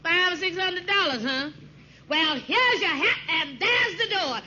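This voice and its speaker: A woman's voice: very high voice, animated, unhurried, sharp tone, exaggerated voice. An actress playing an older, scornful, combative mother-in-law in an old radio sitcom, scolding with sarcasm. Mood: sarcastic, scornful. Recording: very noisy, muffled old radio recording.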